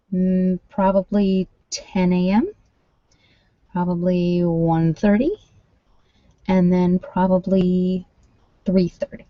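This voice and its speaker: A woman chatting casually and informally to a live online audience, rambling a little as she thinks aloud. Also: on the low side. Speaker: ASMR creator hosting a live workshop session with tarot readings. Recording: muffled, roomy sound.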